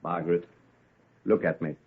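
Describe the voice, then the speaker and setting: Ardent young suitor, muffled vintage radio. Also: male voice, deep voice, little pitch movement, normal speed, slightly rough. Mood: anxious, contrite. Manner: earnest, impassioned, theatrical. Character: ardent, pleading young male medical student in love. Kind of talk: old-time radio drama acting.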